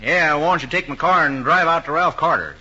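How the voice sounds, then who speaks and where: An actor playing a good-natured small-town sheriff with a husky voice and a Southern American accent, in old-time radio drama style. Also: male voice, a little high, animated, fast, pushed and bright, heavily acted. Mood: businesslike, kindly. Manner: folksy, easygoing. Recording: muffled old radio recording.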